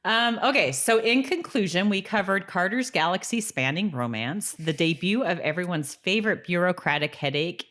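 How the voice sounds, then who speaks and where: A woman with a notably low-pitched voice, speaking as a podcast co-host. Her intonation is very lively, moving widely as she talks. The delivery is casual and playful, like easy chat with a friend. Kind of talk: casual chat about a TV episode.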